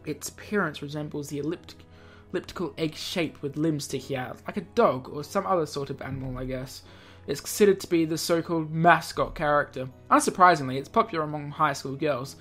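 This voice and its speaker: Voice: medium pitch, fairly quick, fairly bright tone, faint music behind. A video game host reading a visual novel aloud, stumbling over words and dropping in jokey offhand comments. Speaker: let's play video host.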